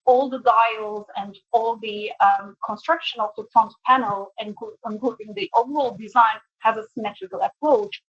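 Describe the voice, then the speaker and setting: A woman's voice: a little high, normal speed, few pauses, husky. Woman presenting over dull call audio. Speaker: creative design director presenting. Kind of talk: prepared design presentation. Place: bright indoor room on a video call.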